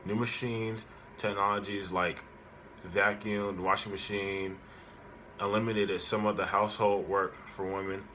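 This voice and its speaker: A man with a low, bright-edged voice delivers a prepared informative speech. He reads it aloud in halting, broken phrases, with some background noise around him. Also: flat delivery. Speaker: student speaker giving an informative speech.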